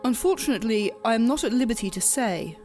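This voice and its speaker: A woman acts out a female reaper who guides souls in an audio drama. Her voice sits a little high and stays measured and stiffly polite, detached and official. Faint music plays beneath her. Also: animated, a little mellow, lightly acted. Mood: composed, formal.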